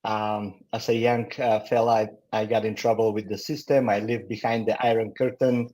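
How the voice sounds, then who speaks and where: Halting Bulgarian-accented man telling his story. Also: on the low side, level tone, a little mellow, lo-fi sound. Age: middle-aged or older. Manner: halting, candid. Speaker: guest, company founder. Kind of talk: personal storytelling in an interview.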